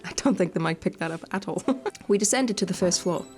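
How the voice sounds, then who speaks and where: Female gaming host, slightly low voice, chatty and playful, informal let's-play delivery and read-alouds, light music underneath. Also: animated, brisk, darkish tone. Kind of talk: casual let's-play commentary and reading game text aloud. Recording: music playing behind the voice. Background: background music.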